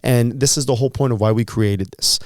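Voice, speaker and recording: A man's voice: mid-range voice, brisk, no room sound, clean studio sound. A man in his thirties co-hosting a podcast, talking earnestly and encouragingly in a casual motivational chat. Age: 30s. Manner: earnest, encouraging, repeats words when thinking. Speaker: podcast co-host.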